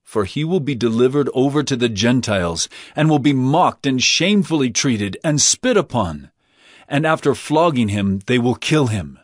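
A man's voice: medium pitch, big pitch swings, normal speed, no room sound, studio quality. An actor playing an earnest, solemn male teacher of parables in a dramatized audio Bible, speaking emphatically. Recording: loud background music.

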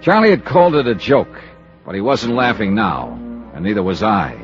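An actor playing a wry, brisk American insurance investigator in a 1950s radio drama, with clipped hard-boiled delivery. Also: male voice, lowish voice, huge pitch swings, creaky, full character voice. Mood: wry narration. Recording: muffled old radio recording with music.

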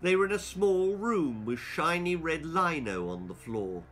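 Slow, animated male storyteller. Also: on the high side. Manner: expressive, deliberate. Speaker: author reading his own stories. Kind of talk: theatrical children's story narration. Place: small book-lined room indoors.

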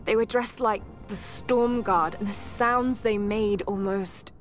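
An actress playing a shaken but determined young adventurer, narrating her survival journal in a game with a breathy voice that often drops toward a whisper. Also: mid-range voice, takes it slowly, close to an everyday voice. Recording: music behind the voice.

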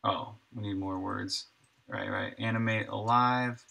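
A man's voice: fairly low pitch, fairly even intonation, a little slow, creaky, pushed and bright. A man in his thirties, a game developer thinking aloud casually on a live stream while coding, muttering to himself in short fragments at his desk. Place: small room indoors, at a computer desk.

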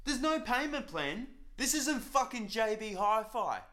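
A cocky young Australian hustler swears through nearly every line in a bright, forceful voice. His speech is crude and sure of itself, recorded on a boom mic in a small flat. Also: measured pace, heavily acted. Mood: irate. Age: young adult. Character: brash foul-mouthed young Aussie hustler. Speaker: actor playing Jono, a crude young son. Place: small suburban flat indoors.

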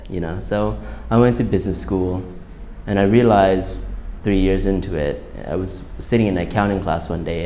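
A man in his thirties speaks in a deep, low voice. He answers questions in a relaxed, reflective manner, often pausing on fillers and restarting his sentences. He is seated at a table in a quiet studio, miked with a lapel mic. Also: a little hiss or hum. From Southern California. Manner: thoughtful, hesitant, many fillers and restarts. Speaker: fashion designer, guest.

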